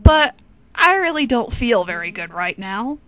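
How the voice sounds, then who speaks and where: A woman's voice: on the high side, big pitch swings, a little slow, a bit bright. A woman voicing a suave but flustered, exasperated young man in a comedic fan fiction reading. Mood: uneasy, evasive. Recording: quirky music behind.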